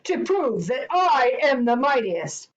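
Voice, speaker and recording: Woman acting an enormous, halting, blustering storybook giant. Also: high-pitched, big pitch swings, muffled recording, voice pushed up, exaggerated voice. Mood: blustering, menacing. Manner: blustering, stammering. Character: enormous bullying giant, cowed by his mama.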